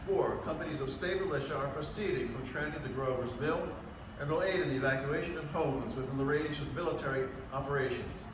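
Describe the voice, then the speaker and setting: A man's voice: medium pitch, fast, lightly acted. A man playing an authoritative brigadier general reading an official proclamation in a radio drama. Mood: stern, official. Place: public library room, staged reading. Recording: distant, echoey room with clear noise.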